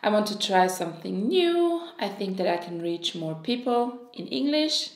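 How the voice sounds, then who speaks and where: A woman in her thirties from Switzerland, Croatian by first language, gushing excitedly through a beauty unboxing to camera at home. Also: medium pitch, moderate pace, roomy sound. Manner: excitable, gushing, with sighs and small laughs. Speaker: beauty vlogger. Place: home room, indoors.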